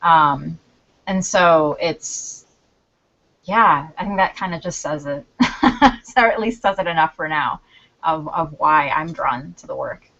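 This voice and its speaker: Low-voiced thoughtful woman on a call. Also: slow pace, gravelly, long pauses, fairly bright tone. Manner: thoughtful, searching for words. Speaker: college staff advocate. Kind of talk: casual video-call discussion. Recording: dull lo-fi call audio.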